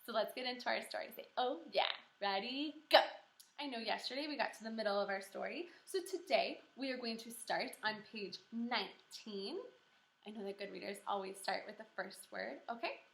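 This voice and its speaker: A woman teaching kindergarten through a read-aloud lesson, encouraging and animated, asking questions and pausing for answers. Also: on the high side, creaky. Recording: roomy echo.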